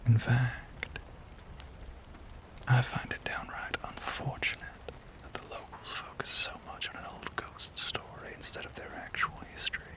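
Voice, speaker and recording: A man playing a lonely, brooding Southern gentleman vampire in an intimate audio roleplay, with a Southern US accent, a breathy voice and genteel, old-fashioned phrasing. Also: unhurried, close to an everyday voice. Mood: bitter, wry. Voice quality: breathy, whispery.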